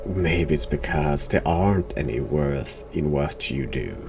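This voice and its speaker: A man streaming a horror game, answering its character with short, deadpan, dismissive replies. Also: extremely low pitch, unhurried, background noise. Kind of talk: gameplay commentary, replying to a game character. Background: background music.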